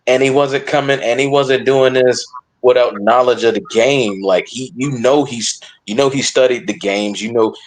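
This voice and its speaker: A man in casual sports discussion as a podcast panelist, emphatic but halting, often repeating and reworking his phrases. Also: medium pitch, rough recording.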